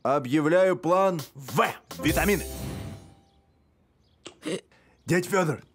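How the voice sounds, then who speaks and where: A man voicing a scatterbrained, boastful, good-natured talking dog in an animated cartoon, rambling and excitable. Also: on the high side, a little slow, soft background music, lightly acted. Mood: playful, bossy. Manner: rambling, excitable, tells tall anecdotes.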